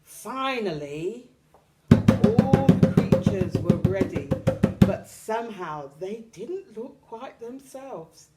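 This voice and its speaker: A woman reading a children's picture book aloud expressively, with dramatic, deliberate phrasing for young listeners. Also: a little high, lively intonation, over music. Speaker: storytime reader.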